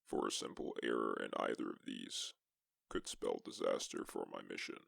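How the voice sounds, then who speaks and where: A man narrating as a lone astronaut explorer in a suspense science-fiction story, with a gravelly voice and measured, deliberate delivery. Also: very deep voice, a bit bright, dry recording, clean studio sound, exaggerated voice. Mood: measured, solemn. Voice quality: gravelly, creaky. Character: lone male astronaut explorer recounting a tense exoplanet mission. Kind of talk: scripted first-person sci-fi story narration.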